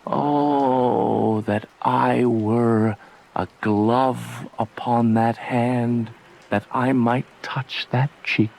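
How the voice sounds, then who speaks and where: An actor playing a lovestruck young man in Shakespearean verse, speaking with tender, rapturous and measured delivery. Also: mid-range voice, fairly even intonation, vocal fry, a little mellow, slight background noise, rough recording. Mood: yearning wonder. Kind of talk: slow dramatic verse acting.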